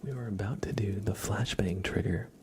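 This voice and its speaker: A man hosting an ASMR livestream in a gentle, hushed voice that often drops into a whisper, close to the microphone. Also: lowish voice, normal speed, gravelly, a little hiss or hum. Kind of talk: ASMR, soft-spoken and whispered livestream chat.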